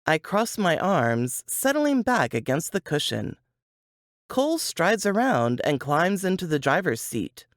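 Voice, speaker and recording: A woman reading a first-person novel aloud as its author, with deliberate, dramatic phrasing. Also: lowish voice, big pitch swings, dry recording, clean studio sound. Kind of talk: expressive first-person fiction narration.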